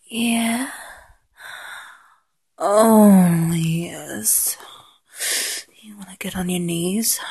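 A woman in an intimate, ASMR-style erotic roleplay, mostly whispering in a breathy voice with a slow, teasing murmur and lingering pauses. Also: mid-range voice, darkish tone, dry recording.

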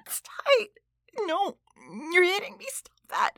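A woman playing a defiant, domineering female boss in an adult audio drama, with breathy delivery that often drops to a hush. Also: extremely high pitch, expressive, measured pace, dry recording, clean studio sound, exaggerated voice. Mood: distressed, defiant. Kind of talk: erotic audio roleplay acting.

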